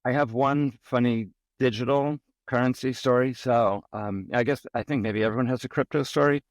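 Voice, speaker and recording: A man's voice: medium pitch, fairly even intonation, moderate pace, dry recording. A middle-aged man from the Boston area, a podcast guest telling personal stories in a casual, rambling way with many ums. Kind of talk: casual interview storytelling.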